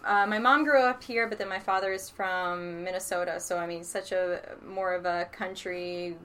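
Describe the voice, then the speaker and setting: Bright-voiced, chatty woman. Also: lowish voice, steady tone, normal speed, slight background noise. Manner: chatty, giggly, emphatic. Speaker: radio presenter being interviewed. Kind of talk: casual interview chat.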